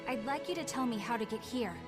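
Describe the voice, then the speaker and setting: A woman's voice: on the high side, animated, fast, music in the background, close to an everyday voice. An actress playing a polite, earnest and determined young woman fighter in a video game, speaking sincerely. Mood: earnest, apologetic. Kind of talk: English video game dub acting.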